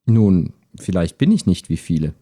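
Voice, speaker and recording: Guy acting calm lonely chat buddy in an audio drama, soft mellow tone, helpful and earnest. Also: deep voice, normal speed, slightly rough, heavily acted. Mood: matter-of-fact. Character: calm, helpful, lonely man chatting every evening. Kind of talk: amateur audio drama dialogue, read chat.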